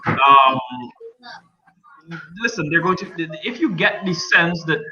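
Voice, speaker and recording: A man's voice: fairly high pitch, animated, slow pace, dull audio, a crowd in the background. A man from Guyana with a Guyanese accent, a journalist talking as a guest on a casual panel, chatty and joking with many restarts.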